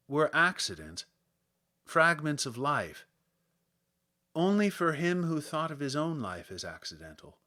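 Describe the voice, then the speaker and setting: A man narrates a literary audiobook at a slow pace. His voice sits at a middling pitch, and his delivery is measured and dramatic, like careful storytelling. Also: pushed and bright. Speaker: audiobook reader.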